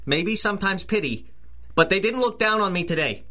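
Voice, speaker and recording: A bitter small-time hoodlum in an old-style radio serial. His voice is bright and tense and swings widely in pitch. He swaggers in tough-guy slang, jumpy beneath the bluster. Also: expressive, brisk. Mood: swaggering, bitter. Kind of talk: 1930s-style radio drama acting.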